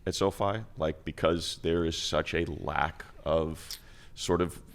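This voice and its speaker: A man's voice: very deep voice, steady tone, slow. An American man hosting a sports show, asking questions in a conversational interview style. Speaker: sports show host and game announcer.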